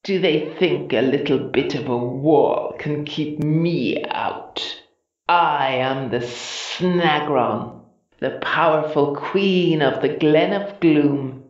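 A storyteller voicing a vain, scheming female monster queen from a children's storybook, boastful and scornful, with hissing, drawn-out words. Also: medium pitch, echoey room, lo-fi sound.

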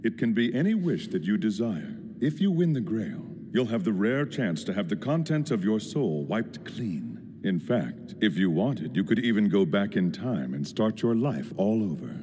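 Anime dub priest: low, formal, smugly composed, with expressive swoops, portentous delivery. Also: male voice, slightly rough. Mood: solemn, portentous. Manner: formal, measured, theatrical, portentous. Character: solemn, smugly composed adult male priest overseeing a deadly ritual. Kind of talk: English anime dub acting. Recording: long hall reverb under loud music.

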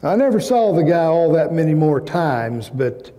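An older man in his sixties delivers a sermon from a pulpit. His voice is fairly high for a man and very mellow. He phrases with emphasis and pauses, and the sound rings through a big church. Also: very animated. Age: 60s. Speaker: pastor preaching to a congregation. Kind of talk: sermon with personal anecdotes. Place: large church sanctuary, indoors at a pulpit. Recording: reverberant church hall.

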